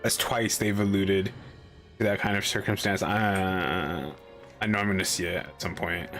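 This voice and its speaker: A man's voice: sits low, fairly even intonation, normal speed, fairly bright tone, soft background music. A man casually musing aloud while playing a video game. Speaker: game streamer. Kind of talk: let's play commentary. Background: game audio.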